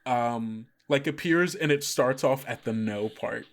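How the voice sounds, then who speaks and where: A man's voice: medium pitch, a little slow. A man running a tabletop game as game master, describing scenes in a rambling way, full of fillers.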